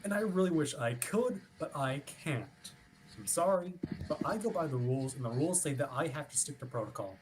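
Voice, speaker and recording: Casting: actor playing chipper, rule-bound watch officer, over-polite and wordy, lively intonation, slight noise on a home stream. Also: medium pitch, a little fast, natural voice. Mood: politely insistent. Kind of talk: staged reading of a play over video stream.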